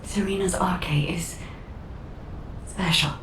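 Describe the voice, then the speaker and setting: An actress playing a serene, gently instructive AI guide in a video game, soft, measured and formal. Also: female voice, steady tone. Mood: calm, reassuring. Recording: echoey, distant, faint rumble behind.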